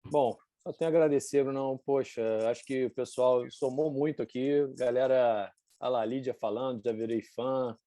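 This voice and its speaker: A Brazilian man in his forties chats casually as an event host, in a medium-pitched voice. His talk is slangy and informal, and the sound is dry and close. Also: fairly even intonation, moderate pace, hardly any pauses, mellow, no echo. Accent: Brazilian Portuguese. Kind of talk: casual chat.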